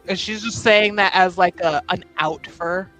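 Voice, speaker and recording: A woman's voice: on the low side, unhurried, fairly bright tone, soft background music, low-quality recording. A woman playing a tabletop roleplaying game, chatting and narrating casually in an informal, jokey way and often laughing.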